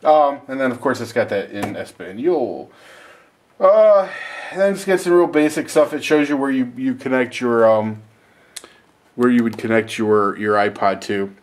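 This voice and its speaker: A man's voice: medium pitch, lively intonation, measured pace. Male video host, mid-range voice, informal and rambling gear unboxing, self-deprecating delivery. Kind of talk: casual unboxing and review talk.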